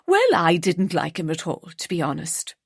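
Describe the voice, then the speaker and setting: A woman's voice: fairly low pitch, wildly swooping pitch, normal speed, gravelly, slightly rough, no room sound, studio quality, voice pushed down, exaggerated voice. A woman reading an audiobook, voicing an older grandmother who is alarmed and frankly outspoken. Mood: blunt. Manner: alarmed then blunt and frank.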